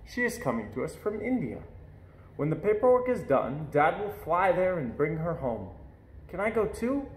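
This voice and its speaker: A man reading a children's story aloud in an expressive narrating style. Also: on the high side, normal speed. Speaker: storybook reader. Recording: echoey room.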